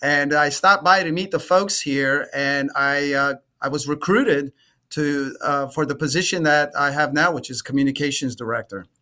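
A man in his forties, a guest on an interview podcast, answering earnestly with halting restarts. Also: a little high, bright, forceful tone. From Romania, raised in Atlanta. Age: 40s.

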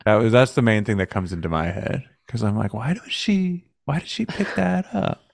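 Podcast co-host, man, gravelly voice, dry close recording, wry. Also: on the low side, animated, normal speed, no echo. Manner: wry, joking. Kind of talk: casual chat.

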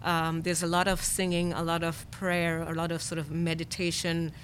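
A woman's voice: on the low side, completely flat delivery, normal speed, a little hiss or hum. A woman from Zimbabwe, a poet and storyteller, talking reflectively in conversation and asking herself questions. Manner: thoughtful, questioning, frequent murmured agreement.

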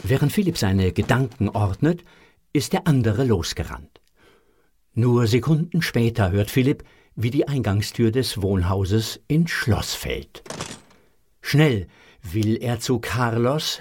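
A man narrating a scripted children's audio drama in a measured, suspenseful way. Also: mid-range voice, big pitch swings, darkish tone, husky.

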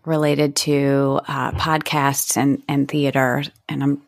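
A woman's voice: a bass voice, level tone, slow, vocal fry, no room sound, clean studio sound. A woman hosting a podcast interview, conversational and reflective, thinking ideas through aloud.

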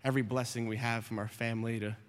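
Relaxed man reading scripture. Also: medium pitch, quick pace. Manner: relaxed, reads scripture steadily. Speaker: church member giving testimony. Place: church sanctuary. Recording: church hall reverb.

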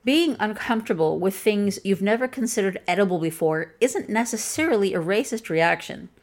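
A woman with a flat Chicago accent delivering scripted commentary in a wry, pointed and dryly humorous way. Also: medium pitch, moderate pace. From Chicago, USA. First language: English. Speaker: video essayist.

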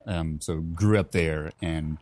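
A man in his twenties from Finland, telling his story in a relaxed, chatty way as a podcast guest. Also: extremely low pitch, slow pace. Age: 20s. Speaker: podcast guest, coffee professional. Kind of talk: casual interview storytelling.

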